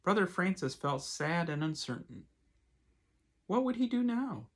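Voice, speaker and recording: A male pastor speaks as if to children at storytime. His pitch rises and falls in an animated way, and his voice is somewhat husky. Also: measured pace. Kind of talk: expressive storybook read-aloud with casual asides.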